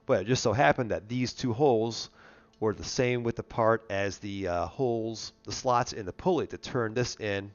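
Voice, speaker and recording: A man with a fairly low, nasal voice that sounds congested and worn from allergies. He hosts a casual car show, chatting and spinning stories in an informal way. The stream audio is a bit dull and lo-fi. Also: moderate pace. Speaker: host of a car enthusiast live show. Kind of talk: casual chat and storytelling.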